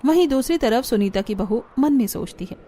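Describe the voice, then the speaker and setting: A woman's voice: fairly high pitch, animated, brisk, mellow, slight background noise. A woman narrating a scripted cartoon story.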